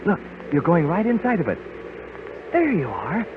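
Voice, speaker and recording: A man playing an excited, friendly guide, animated and full of wonder, in old radio drama style. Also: high voice, moderate pace. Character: excited, friendly man narrating a magic vision. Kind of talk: 1930s radio drama acting. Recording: tinny, very noisy old radio recording.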